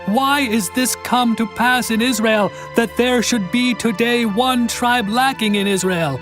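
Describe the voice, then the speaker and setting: Very high-pitched grieving Israelite. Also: male voice. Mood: anguished pleading. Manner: slow, pleading lament. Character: grieving Israelite man crying out to God. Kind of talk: dramatized Bible audio acting. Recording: music behind the voice. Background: music.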